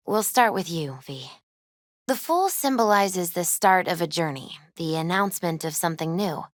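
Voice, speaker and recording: A woman playing a gentle, spiritual young tarot reader in a video game, soft-spoken, unhurried and often hushed. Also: lowish voice, dry recording, clean studio sound. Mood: mystical, measured.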